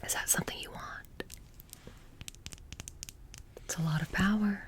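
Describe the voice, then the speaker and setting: A woman playing a bored, petty young witch shopkeeper in a soft-spoken ASMR roleplay, casually menacing and deadpan, breathy and often dropping into a whisper. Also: mid-range voice, natural voice. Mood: sly, ominous. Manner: deadpan, casual, many drawn-out pauses and filler "like".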